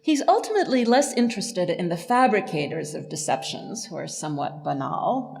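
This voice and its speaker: A woman professor giving a literary lecture in an articulate, measured, academic manner. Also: mid-range voice, darkish tone. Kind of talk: illuminated lecture, literary analysis.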